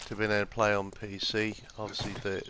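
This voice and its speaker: A man's voice: fairly low pitch, monotone, quick pace, bright, forceful tone, slight background noise. A congested man with the flu giving casual, hesitant live game commentary on a headset microphone, explaining things as he goes. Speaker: gaming live streamer. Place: room next to an office, windows open. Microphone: PS4 gaming headset microphone.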